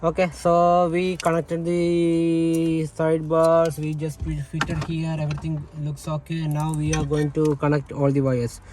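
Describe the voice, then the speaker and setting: A man giving a casual hands-on installation tutorial, explaining haltingly and correcting himself as he goes. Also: on the high side, completely flat delivery, darkish tone. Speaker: DIY installation presenter.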